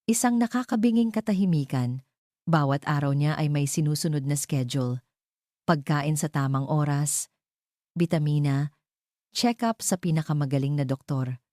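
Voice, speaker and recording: A woman's voice: low-pitched, warm tone, dry recording. Dry-sounding Filipino woman narrating a dramatic story.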